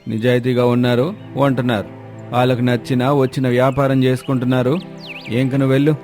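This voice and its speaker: A man's voice: medium pitch, little pitch movement, fairly quick, a little mellow, faint music behind, close to an everyday voice. A man playing a firm, fair-minded village shop owner with an admonishing manner in a cartoon moral story. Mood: firm refusal.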